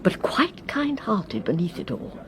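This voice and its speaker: An actress playing a chatty, kindly, plucky English spinster governess in a radio drama, with a fluttery, genteel, effusive manner. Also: female voice, fairly low pitch, wildly swooping pitch, a little slow. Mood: chatty, confiding. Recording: clear train and vehicle noise behind. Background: train ambience.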